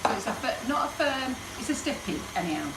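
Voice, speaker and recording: A chatty woman rambling and joking as she demonstrates baking. Her voice rings around an echoey kitchen, picked up from a little way off the mic with steady background noise. She talks at an ordinary middle pitch. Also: fairly quick, distant mic. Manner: chatty, rambling, jokey, laughs often.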